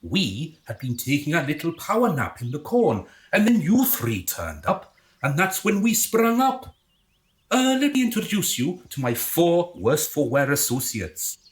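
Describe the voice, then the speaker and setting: A man's voice: fairly high pitch, wildly swooping pitch, unhurried. A man playing a smug, theatrical rival gang leader with mock-courteous manners in a radio comedy. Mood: smug, mock-polite. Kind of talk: radio comedy drama acting.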